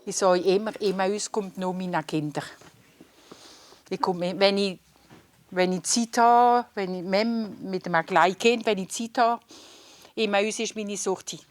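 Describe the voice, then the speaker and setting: A woman's voice: medium pitch, steady tone, unhurried. A woman regular customer talking eagerly, repeating herself as she goes.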